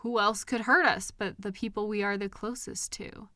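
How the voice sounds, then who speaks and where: A woman's voice: mid-range voice, dry recording, studio quality, lightly acted. A woman playing a caring partner in an intimate comfort roleplay, speaking tenderly and expressively straight to the listener. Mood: gentle, reassuring. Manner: tender, expressive, with soft pauses and questions. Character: caring, gently indignant woman comforting her upset partner. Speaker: audio roleplay performer. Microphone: close microphone in a dry room.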